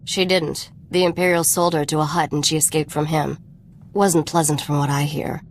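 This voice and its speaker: A woman's voice: low voice, little pitch movement, moderate pace, slight background noise. A woman playing a cool, pragmatic treasure hunter in a video game, guarded and businesslike. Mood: guarded, cold. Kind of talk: video game character acting.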